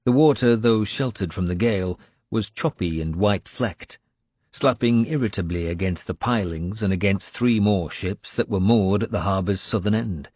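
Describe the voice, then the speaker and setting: A man narrates a historical adventure in the first person. His voice is low and the sound is dry, with a dramatic, scripted storyteller's delivery. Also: moderate pace, a little mellow, no echo. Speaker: audiobook narrator.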